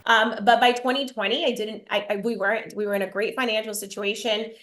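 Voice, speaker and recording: A woman's voice: medium pitch, fairly even intonation, brisk, fairly bright tone. A woman from Miami, born in Cuba, presenting her business in a bubbly, gushing way. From Cuba, raised in Miami. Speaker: network marketing presenter. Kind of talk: promotional live presentation.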